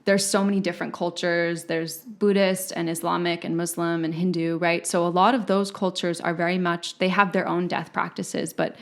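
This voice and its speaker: A woman's voice: lowish voice, flat delivery, moderate pace, few pauses. A woman who grew up in Malaysia, a guest on a podcast, speaking reflectively and often checking in with "right?" as she thinks aloud. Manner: reflective, frequent "like" and "right?" tags. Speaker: podcast guest, psychic intuitive. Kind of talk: casual conversational interview.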